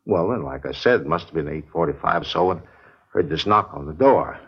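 A man's voice: low-pitched, expressive, brisk, breathy edge, exaggerated voice. An American man playing a talkative, slightly testy supermarket manager in a 1950s radio drama, rambling in a folksy, naturalistic way. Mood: recounting. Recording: muffled vintage radio recording.

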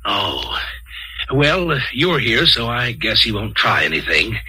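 Slow, timid, chubby scientist. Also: male voice, lowish voice, very animated, sharp tone, husky, heavily acted, low-quality recording. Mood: nervous, ingratiating. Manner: hesitant, nervous chuckling. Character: fat, chubby male scientist, timid, ingratiating, greedy eater. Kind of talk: 1950s radio drama acting.

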